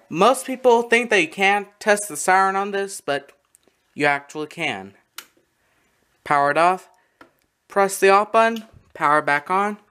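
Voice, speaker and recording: Halting young-sounding hobbyist, casual show-and-tell. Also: mid-range voice, fairly bright tone, clear, resonant tone. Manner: halting, matter-of-fact. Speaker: video creator showing a radio collection. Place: small room at home, indoors. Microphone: handheld camera close by. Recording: noisy handheld home recording with clicks.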